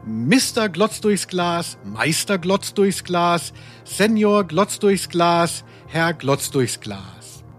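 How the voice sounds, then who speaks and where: A man's voice: sits high, big pitch swings, slow, soft background music. A man hosting a chatty podcast and running a quiz in a playful, tongue-in-cheek way. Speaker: podcast host and quizmaster. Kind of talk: casual chatty podcast talk.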